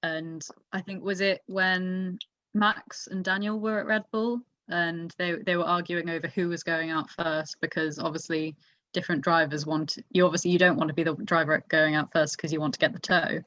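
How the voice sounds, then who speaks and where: A woman's voice: fairly low pitch, little pitch movement, normal speed, fairly bright tone. A woman chatting casually about motorsport as a podcast co-host, giving her opinions emphatically. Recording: echoey room, dull lo-fi mic.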